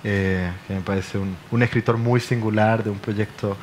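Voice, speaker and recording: A man speaking on a literature panel in a measured way, often checking in with tag questions. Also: lowish voice, steady tone, a little hiss or hum.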